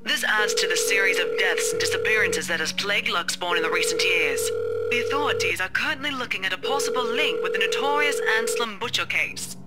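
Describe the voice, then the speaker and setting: A woman voicing a formal, measured local TV news anchor in a visual novel. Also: medium pitch, animated, loud music behind the voice, close to an everyday voice. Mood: grave, formal.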